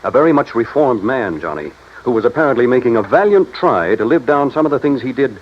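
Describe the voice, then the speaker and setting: A man's voice: mid-range voice, sing-song, fairly quick, a little hiss or hum, close to an everyday voice. An American actor playing an earnest, businesslike male insurance executive in a 1950s radio drama, explaining things carefully. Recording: muffled vintage radio recording.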